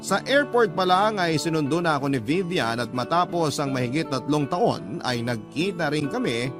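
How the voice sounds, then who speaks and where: An earnest young Filipino man , played by a male actor, tells his story as radio drama narration. His voice is slightly high, and instrumental music plays clearly behind him. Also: animated, normal speed, lightly acted. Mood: earnest, reflective. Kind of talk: scripted first-person radio drama narration. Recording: music bed under narration.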